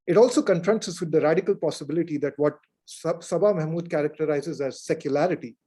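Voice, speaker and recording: A man's voice: a little high, fast, dark tone, breathy edge. A man reading prepared academic remarks at a book panel in an earnest, formal way.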